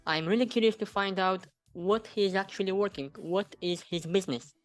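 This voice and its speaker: A man hosting his own channel, interviewing a guest at a deliberate pace with many fillers. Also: high voice, soft background music.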